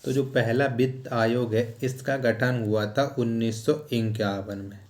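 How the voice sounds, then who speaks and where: A man's voice: medium pitch, flat delivery, unhurried, darkish tone. Male teacher, instructional delivery. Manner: repetitive, asks short questions then repeats answers. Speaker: teacher for competitive exam general knowledge. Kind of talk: quiz-style lecture, reading questions and answers.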